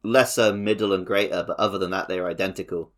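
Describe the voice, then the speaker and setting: A man with a fairly low voice talks as a podcast co-host. His delivery is informal and conversational, like explaining a game to a friend. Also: fairly even intonation, quick pace, a bit bright. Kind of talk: casual gaming podcast chat.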